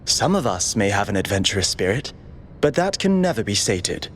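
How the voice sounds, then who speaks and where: An actor playing a cautious, overworked android chief aide in a video game, with a measured, dutiful, disapproving manner. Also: male voice, fairly low pitch, sing-song, a little hiss or hum. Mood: weary, disapproving.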